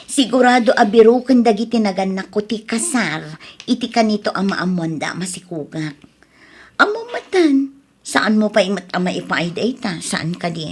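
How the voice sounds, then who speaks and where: A woman's voice: medium pitch, sing-song, moderate pace, slightly rough. An actress playing an anxious young woman in an audio drama, speaking in an earnest, pleading way. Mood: worried. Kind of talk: Ilocano radio-style drama acting.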